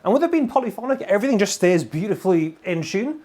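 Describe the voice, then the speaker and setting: High-pitched, effusive British man. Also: very animated, quick pace, darkish tone. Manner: effusive, emphatic, colloquial. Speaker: guitar gear demonstrator. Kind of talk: enthusiastic product demo to camera. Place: indoor room full of guitars and amplifiers.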